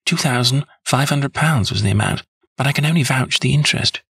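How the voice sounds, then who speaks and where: Man putting on a flustered young woman, husky and lifted. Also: mid-range voice, a little fast, a bit bright, no room sound, clean studio sound, pitched above the performer's own voice, heavily acted. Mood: chatty, earnest. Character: nervous, rambling, good-humoured young woman client. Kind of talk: audiobook character voice.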